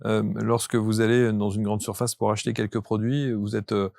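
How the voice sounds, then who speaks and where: A man's voice: on the low side, level tone, brisk, vocal fry, darkish tone, dry recording, clean studio sound. A man hosting a conversational interview, putting questions to his guest. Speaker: talk show interviewer.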